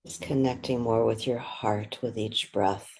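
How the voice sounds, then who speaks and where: A meditation guide speaks very slowly in a soft, husky, breathy voice. The delivery is gentle and unhurried, with short phrases set apart by long pauses, guiding the listener step by step. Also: medium pitch, steady tone, low-quality recording.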